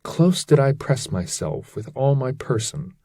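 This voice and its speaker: A man reads epic poetry aloud in a dramatic, declamatory style. His pace is slow, his tone mellow and his pitch moves expressively, recorded close and dry. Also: sing-song, husky, no echo. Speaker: reader.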